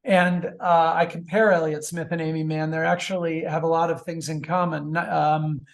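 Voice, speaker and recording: A man's voice: a little high, flat delivery, normal speed, vocal fry. A man answering as a guest author in a conversational interview, often repeating words as he thinks aloud.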